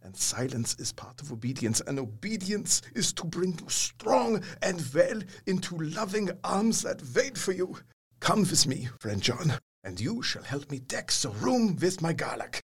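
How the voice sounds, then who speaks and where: Elderly foreign mentor, gravelly, widely swooping delivery, fierce yet kindly, theatrical, audio drama. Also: male voice, high voice, big pitch swings, a little slow, full character voice. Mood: tender, hushed. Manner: emphatic, theatrical, stilted foreign phrasing.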